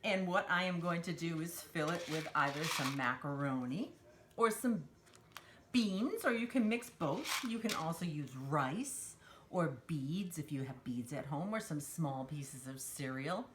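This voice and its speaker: Woman teaching kids, very lively pitch, noisy recording. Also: unhurried. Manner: simple, encouraging talk addressed to young children; refers to herself in third person. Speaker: children's storytime host and craft teacher. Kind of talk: children's craft demonstration.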